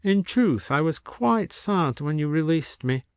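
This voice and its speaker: A man voicing a wistful, genteel gentleman from a bygone age, in a story reading. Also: on the high side, expressive, measured pace, smooth, no echo, studio quality, pitched above the performer's own voice. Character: wistful, genteel old-fashioned gentleman from centuries ago. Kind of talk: audiobook character voice.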